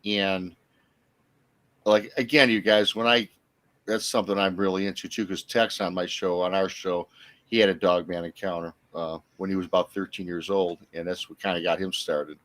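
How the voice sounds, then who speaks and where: A low-pitched American man in casual podcast conversation. He rambles in a halting way, often circling back over what he has started to say. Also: steady tone, a bit bright. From Michigan, United States. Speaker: guest, Bigfoot researcher and podcaster. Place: remote livestream call.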